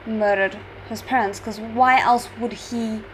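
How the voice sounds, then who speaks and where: A woman's voice: a little high, fairly even intonation, a little slow. A woman giving spontaneous reaction commentary as the host of a drama series reaction video. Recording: clearly noisy background.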